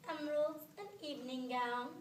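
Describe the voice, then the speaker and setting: A woman stage performer delivering short, deliberate scripted phrases in a live ensemble piece. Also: high-pitched, fairly even intonation, slight background noise, close to an everyday voice. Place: stage in a hall. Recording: echoey, distant live theatre recording.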